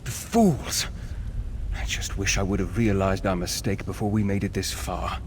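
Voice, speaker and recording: An actor playing a grave, regretful vampire count and mentor in a video game, with solemn, measured, formal speech. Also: male voice, low voice, steady tone. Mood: regretful, resolute. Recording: clear background noise.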